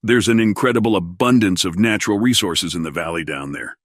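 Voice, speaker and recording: A man voices a courteous alien administrator of a frontier colony. His voice is lowish and dryly recorded, with a fussy, officious formality suited to sci-fi audio drama. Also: a little fast, no room sound, clean studio sound. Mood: genial.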